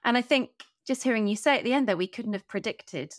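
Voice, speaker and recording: A woman's voice: fairly high pitch, fast, no room sound. A woman hosting an interview podcast, reflecting conversationally and emphatically, often piling up words in lists.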